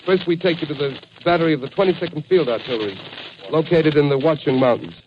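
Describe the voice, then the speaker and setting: An actor playing an urgent, formal news announcer in 1930s radio drama style. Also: male voice, fairly high pitch, fast talker, lightly acted. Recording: noisy muffled 1930s radio recording.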